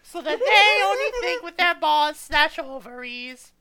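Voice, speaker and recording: A woman's voice: sits high, expressive, measured pace, pushed and bright. A woman in a casual group chat who reads aloud cheekily, swears and laughs mid-sentence. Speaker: co-host reading aloud.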